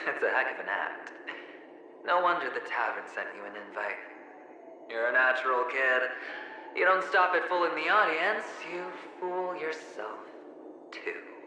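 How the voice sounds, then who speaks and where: A man's voice: medium pitch, animated, unhurried, very echoey, long reverb tail, background noise, lightly acted. An actor playing a smug young male gambler in a video game cutscene, taunting in a mocking, teasing drawl.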